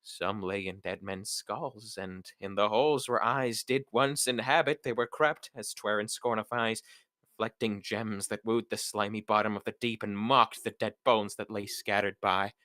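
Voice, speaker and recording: A man's voice: on the low side, fairly even intonation, moderate pace, sharp tone, dry recording. A young male student actor playing a troubled, imprisoned nobleman in a Shakespearean radio play. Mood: haunted, fearful. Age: young adult. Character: haunted imprisoned nobleman recounting a dreadful dream.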